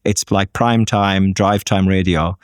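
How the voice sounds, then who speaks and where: An Irish man speaking as a business guest in a podcast interview, measured and thinking aloud with frequent ums. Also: low voice, steady tone, clear, resonant tone, dry recording, clean studio sound. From Ireland.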